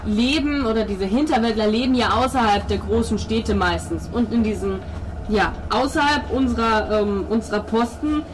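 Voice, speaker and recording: A woman's voice: fairly high pitch, moderate pace, noisy background, lightly acted. A woman voicing a devout, pompous male priest in tabletop roleplay, explaining at length with hesitations and pious flourishes. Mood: patient explaining.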